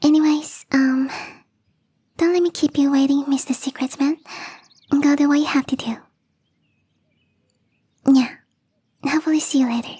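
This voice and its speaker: A woman playing a shy, playful young catgirl gardener in an intimate ASMR roleplay, speaking softly and hesitantly in a breathy half-whisper. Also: high-pitched, steady tone, normal speed, long pauses, lightly acted. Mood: playful, fond. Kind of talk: ASMR roleplay, intimate one-sided dialogue.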